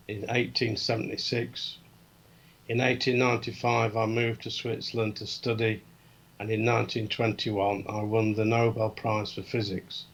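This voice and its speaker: A man reading out quiz questions in a steady, matter-of-fact way on a casual live show. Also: fairly low pitch, muffled recording. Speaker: quiz guest.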